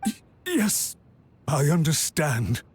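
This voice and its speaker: An actor playing an anxious, apologetic official in a game, with a formal, beseeching and deferential manner. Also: male voice, on the high side, huge pitch swings, takes it slowly, a little hiss or hum, heavily acted. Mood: cowed. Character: anxious, apologetic male official pleading for mercy. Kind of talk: dramatic game voice acting.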